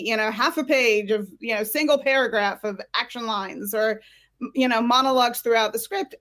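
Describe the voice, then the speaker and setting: A woman's voice: on the high side, a bit bright. A woman giving frank, direct expert advice as a career coach in a conversational panel. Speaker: screenwriting career coach, guest.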